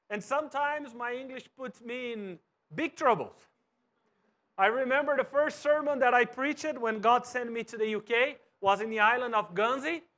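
A Brazilian pastor in his thirties preaches in English with a strong Brazilian Portuguese accent. His voice sits very high for a man. He moves about a church stage, sometimes quiet and sometimes loud. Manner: animated, wide gestures, swings from soft to loud. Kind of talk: sermon. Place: church stage with cross backdrop and bookshelves.

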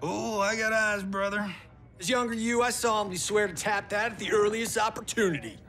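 An actor playing a brash, lecherous young action hero in a comic game cutscene. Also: male voice, high voice, steady tone, normal speed, bright, forceful tone. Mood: cocky, smug. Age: young adult.

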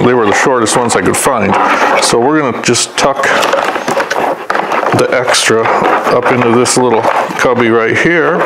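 Man, fifties, American accent, mid-pitched voice, plain-spoken, practical, conversational how-to host. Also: vocal fry, very noisy. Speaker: host of a DIY vehicle install channel. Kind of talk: hands-on tutorial explanation, conversational.

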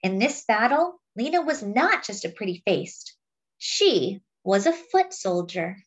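A woman reading a picture book aloud, with expressive, dramatic phrasing. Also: mid-range voice, measured pace. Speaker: storybook reader.

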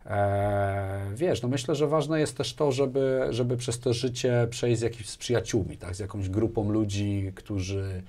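A man's voice: fairly low pitch, measured pace, few pauses, mellow. A man, talking casually as an interview guest, often repeating words and checking in with short tag questions. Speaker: interview guest, business manager. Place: small furnished indoor studio, seated. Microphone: lapel mic.

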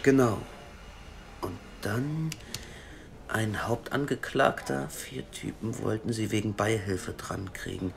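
A man's voice: on the low side, vocal fry. An actor playing a cocky, teasing male hacker in an audio drama. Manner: teasing and jokey, rushes when excited. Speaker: hacker colleague.

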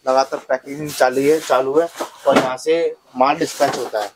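A man hosting a vlog who keeps echoing his guest with quick agreements, speaking into a lapel mic. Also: mid-range voice, fairly even intonation. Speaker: vlogger host. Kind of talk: vlog interview banter. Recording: clear background noise.

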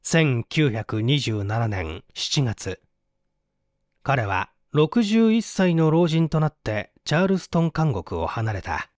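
A man's voice: mid-range voice, sing-song, takes it slowly, no room sound, studio quality. A man reading mystery fiction as an audiobook narrator, in a theatrical, suspenseful manner.

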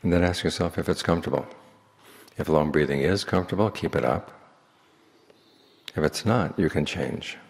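A man speaking as a Buddhist monk, leading a guided meditation in slow, measured phrases with long pauses. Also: very deep voice, vocal fry. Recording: long hall reverb.

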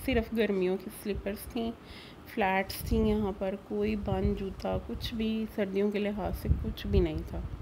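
A Pakistani woman vlogger with a soft-edged, mellow voice at a medium pitch. She chats casually through her narration, pausing on fillers as she goes. There is noticeable noise on the recording. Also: low-quality recording. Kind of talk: casual shopping vlog narration.

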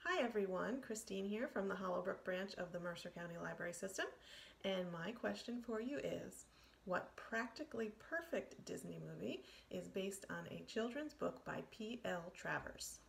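A woman's voice: medium pitch, lively intonation, moderate pace, vocal fry. A middle-aged woman presenting a trivia question as a librarian, filmed in a living room. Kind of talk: quiz presentation to camera. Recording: noisy room recording.

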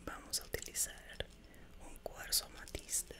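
A woman in her twenties whispering breathily as a soothing ASMR meditation guide, very close to a desk microphone. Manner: slow, soothing, instructive. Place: small bedroom, indoors. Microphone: desk condenser microphone, very close.

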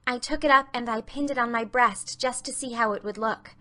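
A woman's voice: a little high, brisk, sharp tone. A woman voicing an imaginative, talkative little girl in an audiobook, eager and earnest. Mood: hesitant. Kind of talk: audiobook character reading.

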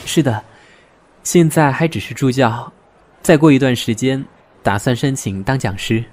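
A man in an amateur audio drama playing a reserved, proud young teaching assistant with a measured manner. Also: mid-range voice, darkish tone, lightly acted. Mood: polite. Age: young adult. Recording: roomy echo. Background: music.

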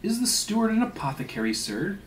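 A man's voice: high voice, big pitch swings, a little fast, reverberant, far from the mic, slight background noise, pitched above the performer's own voice. A man reading a storybook sea tale as an indignant, sarcastic ship's mate who scolds in emphatic, mock-polite rhetorical questions. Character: indignant, sarcastic male whaling second mate, suspicious and blustering. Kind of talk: dramatic reading of a classic sea novel.

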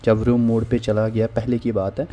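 A man's voice: fairly low pitch, level tone, moderate pace, mellow. A man casually walking viewers through a phone repair tool on screen, often saying "theek hai" between steps. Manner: informal, repeats "theek hai" as a filler. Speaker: phone repair tutorial host. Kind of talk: casual screen-recorded software walkthrough. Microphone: voice-over on screen recording.

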